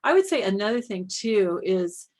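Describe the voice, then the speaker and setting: Husky-voiced friendly woman co-host. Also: medium pitch, very animated, moderate pace, a little mellow. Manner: friendly, confiding, laughs easily. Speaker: Bible study co-host. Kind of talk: casual teaching conversation.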